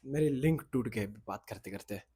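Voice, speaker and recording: A man's voice: medium pitch, normal speed, warm tone, breathy edge. A young man whose first language is Marathi, talking casually to camera in a vlog, rambling and correcting himself as he goes. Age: young adult. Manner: rambling, loses his thread, self-corrects. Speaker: content creator. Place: outdoors in the sun, at a boys' hostel.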